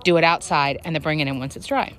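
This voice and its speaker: A woman's voice: steady tone, fast, a bit bright, slight background noise. A woman with children chatting casually while filming her chickens outdoors on a phone, explaining how things are built. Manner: chatty, explains while calling hens in a high sing-song. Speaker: backyard chicken vlogger. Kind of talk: casual outdoor show-and-tell. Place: backyard chicken run outdoors. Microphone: handheld phone camera.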